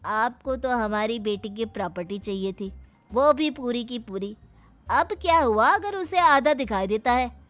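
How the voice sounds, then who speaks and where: Smug rich father of the bride, voiced by a woman, measured and pointed, cartoon moral tale, music bed. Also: a little high, animated. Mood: smug, retorting. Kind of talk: cartoon moral-story character acting.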